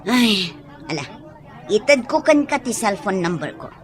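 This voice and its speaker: A woman's voice: mid-range voice, sing-song, unhurried, soft background music. A woman playing a stern, anxious, questioning figure in an audio drama, with a Filipino accent. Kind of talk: Ilocano audio drama acting.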